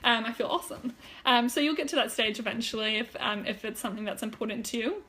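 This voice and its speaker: A woman's voice: a little high, steady tone, fast talker, bright, forceful tone, low-quality recording. A woman whose first language is German, chatting casually and rambling to viewers on a live stream, with frequent fillers and restarts. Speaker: wellness vlogger.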